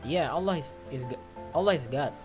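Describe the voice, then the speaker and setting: A man's voice: fairly high pitch, expressive, a little fast. A man from Indonesia with an Indonesian accent, pressing strangers with repeated questions on a casual video chat. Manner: persistent, repeats his questions. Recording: loud background music.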